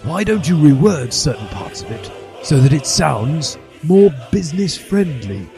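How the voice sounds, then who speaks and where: A man voicing a smug old headmaster who makes slow, pompous, self-justifying speeches in a comic story reading. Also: fairly high pitch, big pitch swings, gravelly, dark tone, voice pushed up, exaggerated voice. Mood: smugly placating. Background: music.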